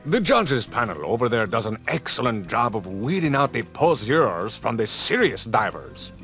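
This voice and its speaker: An actor playing a pompous, wounded champion diver in a video game, telling things in florid, theatrical style. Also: male voice, medium pitch, big pitch swings, moderate pace, a bit bright, soft background music. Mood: haughty.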